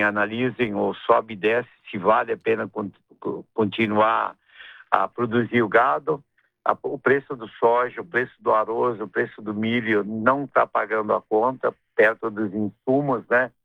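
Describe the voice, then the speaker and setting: A middle-aged man with a gaúcho accent from southern Brazil, a businessman guest talking in a radio interview, rambling and halting with many restarts and tag questions. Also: fairly low pitch, gravelly, dry recording. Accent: Gaúcho Portuguese. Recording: tinny phone-line radio sound.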